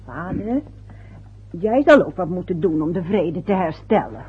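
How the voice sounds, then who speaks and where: Sex: female. Figure: an anxious, pleading wife. Quality: husky. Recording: thin, phone-like. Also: fairly low pitch, lively intonation, measured pace, heavily acted. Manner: pleading, soft, placating. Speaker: wife trying to make peace. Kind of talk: vintage radio play acting.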